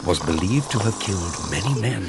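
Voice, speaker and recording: Male storyteller for a children's fairy tale, lowish voice, very animated and theatrical, music underneath. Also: fairly quick. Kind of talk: dramatic scripted fairy tale narration for a children's story app. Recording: music behind the voice.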